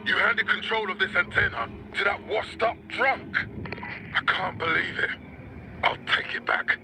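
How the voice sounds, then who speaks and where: An actor playing an indignant, commanding officer over radio in a video game. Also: male voice, high-pitched, moderate pace, vocal fry, noisy background. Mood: outraged, cold. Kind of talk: dramatic video game acting.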